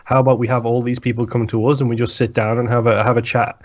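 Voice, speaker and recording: A man's voice: mid-range voice, little pitch movement, quick pace. A man with a mid-range voice chats casually as a podcast co-host. His microphone sounds somewhat dull and lo-fi. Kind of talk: casual chat.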